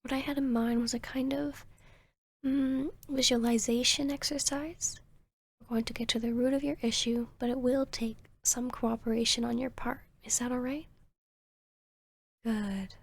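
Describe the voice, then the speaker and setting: Casting: woman playing a soothing vampire therapist for ASMR roleplay, gentle and measured, breathy with a creaky edge. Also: on the high side, steady tone, no echo. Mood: soothing, slow. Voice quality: breathy, soft. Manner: gentle, measured, professional, often near-whispering. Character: female vampire posing as a calm, soothing therapist. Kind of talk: ASMR roleplay, soft-spoken to the listener.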